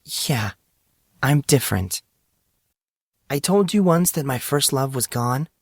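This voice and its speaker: A man's voice: medium pitch, lively intonation, takes it slowly, gravelly, breathy edge, no room sound, voice pushed up, exaggerated voice. A man plays a distressed teenage girl in a dramatised audiobook. The voice is lifted well above a man's usual register and sounds hesitant and pleading, as if confessing something painful. The recording is close and dry. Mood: pleading, regretful.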